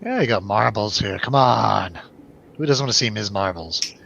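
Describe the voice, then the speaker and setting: Bright-voiced man, dull lo-fi recording. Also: lowish voice, lively intonation, moderate pace, gravelly. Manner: jokey, irreverent. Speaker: host of a film review show. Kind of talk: casual banter film review. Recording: dull lo-fi, faint background noise.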